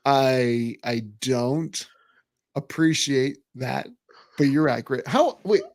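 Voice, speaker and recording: A man in his forties hosting a casual sports podcast, teasing and animated, often restarting his words. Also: medium pitch, takes it slowly. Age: 40s. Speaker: podcast host.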